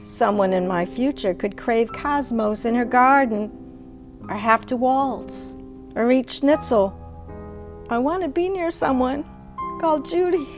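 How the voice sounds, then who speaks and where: An older woman speaks with deliberate care at a very slow pace. Her voice sits a little high. Plucked guitar music plays clearly behind her. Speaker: poet and show host. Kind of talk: dramatic poetry reading and casual conversation.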